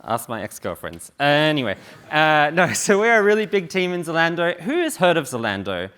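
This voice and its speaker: A man's voice: a little high, very animated, normal speed, a bit bright. A man in his thirties from the UK giving a casual, joking conference talk on stage in a large hall. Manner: joking, chatty, many fillers. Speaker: conference speaker. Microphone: stage mic.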